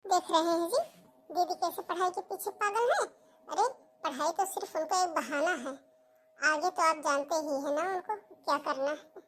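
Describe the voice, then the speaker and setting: Spiteful young sister-in-law, played by a woman, nagging, very high voice, cartoon melodrama. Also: normal speed, a bit bright. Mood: scheming. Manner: insinuating, nagging. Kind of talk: Hindi cartoon family melodrama.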